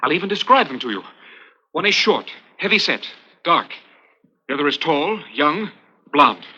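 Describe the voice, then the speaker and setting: Tense, husky hunted American spy. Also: male voice, fairly high pitch, sing-song, normal speed, bright, forceful tone, heavily acted. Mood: tense, secretive. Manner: intense, urgent, emphatic. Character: urgent, hunted American spy, anxious and secretive. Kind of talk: 1950s radio suspense acting. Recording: muffled 1950s radio recording, music behind.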